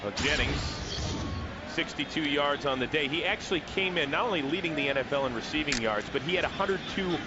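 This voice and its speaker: A man's voice: on the high side, a little fast, loud music behind the voice. A man calling a football game play by play, his voice jumping up on big plays. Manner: excitable, rises sharply on big plays. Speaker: play-by-play commentator. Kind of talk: sports play-by-play commentary. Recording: muffled broadcast audio with loud noise.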